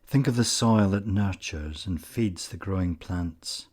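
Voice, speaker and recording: A man with a very low, husky voice leads a guided meditation. He speaks very slowly and calmly, leaving space between short phrases. The ends of his lines often soften into breath. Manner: calm, measured, pausing often. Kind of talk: slow guided meditation narration.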